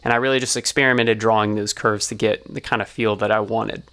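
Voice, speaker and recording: A man's voice: on the low side, fairly even intonation, a little fast, sharp tone, soft background music. A man giving an explanatory tutorial as a sound designer, talking through it step by step.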